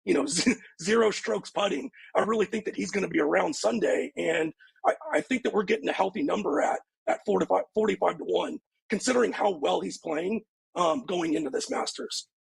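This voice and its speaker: Gravelly high-voiced man talking golf bets. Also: a little fast, dry recording, rough recording. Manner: laid-back, filler-heavy. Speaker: golf betting analyst. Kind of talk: casual sports betting chat.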